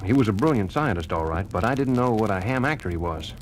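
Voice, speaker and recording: An actor playing a dry, defiant government official in an audio drama, speaking with wry indignation. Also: male voice, fairly low pitch, expressive, fast, slight background noise, close to an everyday voice, poor audio. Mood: wry, relieved. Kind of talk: 1970s children's record audio drama acting.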